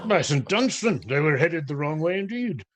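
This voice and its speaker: An actor playing a jovial, scolding friar in a radio play, with churchly phrasing. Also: male voice, fairly high pitch, sing-song, normal speed. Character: Friar Tuck, jovial portly priest. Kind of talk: radio drama acting.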